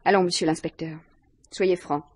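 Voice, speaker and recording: A woman's voice: on the low side, fairly even intonation, moderate pace, slightly rough. An actress playing a defensive, indignant female student under questioning in a 1950s French radio mystery, haughty and formally precise. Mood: bitter, mocking.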